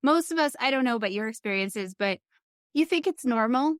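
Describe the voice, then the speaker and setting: A woman's voice: on the high side, lively intonation, brisk, dry recording. Middle-aged woman, candid and reflective, dry close sound. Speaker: podcast host.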